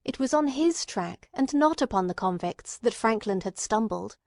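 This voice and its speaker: A woman reading scripted literary narration in a measured storytelling manner, with acted dialogue. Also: a little high, animated, darkish tone, no room sound, studio quality.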